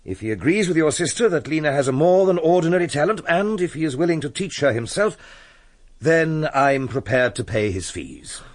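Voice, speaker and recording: An actor playing a wealthy, shrewd English patron of music in a radio drama, genteel and authoritative with a brisk, probing manner. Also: male voice, on the high side, animated, lightly acted. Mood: magnanimous.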